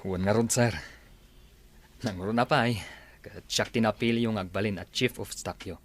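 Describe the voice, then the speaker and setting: Low-voiced man as a deferential aide. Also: normal speed, slight background noise, lightly acted. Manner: polite, eager to please. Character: loyal, deferential male attorney and chief of staff. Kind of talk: Ilocano audio drama acting.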